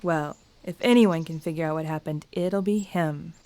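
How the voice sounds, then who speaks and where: A woman's voice: deep voice, moderate pace, dark tone, dry recording, lightly acted. A woman playing an anxious, secretive young lady in a radio drama. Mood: surprised, polite.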